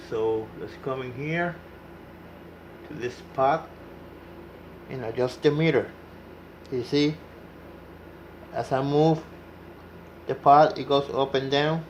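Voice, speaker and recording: A man's voice: mid-range voice, slight background noise. A man giving a casual, unscripted hands-on tutorial, explaining step by step and repeating himself as he goes. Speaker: hobbyist demonstrating CB radio repair.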